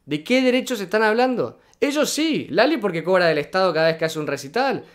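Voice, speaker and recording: Indignant high-voiced Argentine man. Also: sing-song, fairly quick. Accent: Argentine Spanish. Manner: indignant, emphatic, repeating points. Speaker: political commentator. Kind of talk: reaction video commentary.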